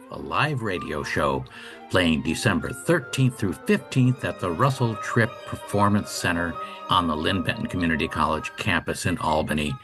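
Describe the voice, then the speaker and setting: A man co-hosting a radio show, making announcements and asking questions in a casual, chatty style. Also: fairly low pitch. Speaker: radio show co-host and interviewer.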